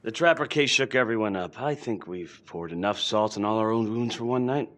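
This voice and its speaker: Commanding sergeant played by a man in a game drama; rapid, fairly low, brisk and dismissive. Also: a bit bright, natural voice. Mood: curt, controlling. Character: curt, controlled male police sergeant in charge. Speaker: video game actor. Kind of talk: naturalistic crime drama acting.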